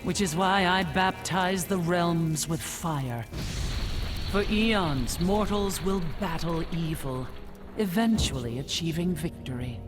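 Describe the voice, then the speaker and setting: Stern serene goddess. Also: female voice, lowish voice, slow pace, music in the background. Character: stern female goddess of nature and light. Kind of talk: game cutscene narration.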